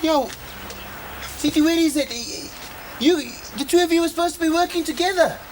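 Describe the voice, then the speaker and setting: A man's voice: very high-pitched, normal speed. Dim, eager South African postman, noisy recording. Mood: scolding. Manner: hesitant, stumbling, earnest. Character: dim, eager, good-natured male postman playing school principal. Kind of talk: children's show acting. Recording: very noisy background.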